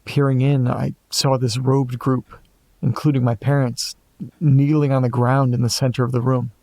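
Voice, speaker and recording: A man playing an anxious amateur investigator in a horror audio drama, muttering hesitantly to himself in a gravelly voice. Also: mid-range voice, flat delivery, moderate pace, a little mellow, no echo, natural voice. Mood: uneasy, hushed. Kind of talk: audio drama monologue, reading and musing aloud.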